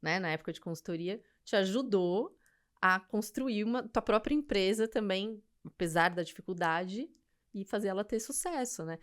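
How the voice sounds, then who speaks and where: A woman with a Brazilian accent hosts a podcast conversation, affirming her guest and adding her own reflections. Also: lowish voice. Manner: affirming, frequent listener responses. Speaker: podcast host. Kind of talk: conversational interviewing.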